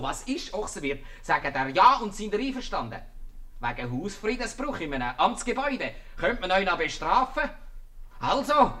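An actor playing a shrewd, bossy bailiff with a Swiss German accent, blunt and persuasive, in a 1950s radio comedy. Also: male voice, sits high, sharp tone, full character voice. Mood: pressing. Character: shrewd, bossy bailiff who settles quarrels.

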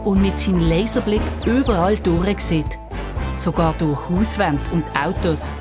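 A woman's voice: lowish voice, unhurried. A woman with a Swiss accent narrating a scripted children's story. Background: music.

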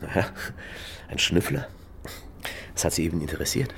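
A man's voice: deep voice, animated, normal speed, vocal fry, slight background noise. A man playing an awkward young private detective in a German radio crime drama, flustered, defensive and stammering. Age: young adult.

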